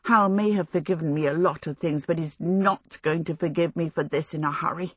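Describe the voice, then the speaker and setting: A woman's voice: deep voice, fairly quick, husky, dry recording, studio quality. A woman voicing a flustered, determined heroine who frets and blames herself. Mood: muttering. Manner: fretful. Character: flustered, self-blaming heroine, quick and determined.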